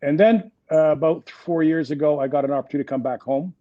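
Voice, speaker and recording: A man's voice: a little high, fast, dark tone, no room sound, highs cut off. French Canadian man in his sixties. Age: 60s. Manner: hesitant, many fillers and restarts. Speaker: guest executive. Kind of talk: interview conversation.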